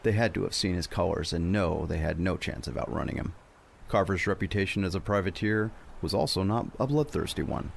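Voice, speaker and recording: A man's voice: low voice, brisk, gravelly, a little hiss or hum. A man narrating a dramatic scripted adventure story as a storyteller.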